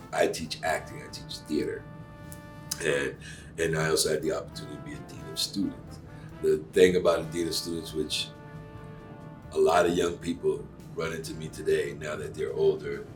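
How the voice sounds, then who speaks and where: A middle-aged man from Cuba speaks in an extremely deep voice, earnest and emphatic as he talks through his thoughts. He is picked up by a microphone set some distance away. Faint music plays underneath. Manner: earnest, warm-hearted, emphatic, with many fillers. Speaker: actor and acting teacher, guest. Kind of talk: casual interview conversation.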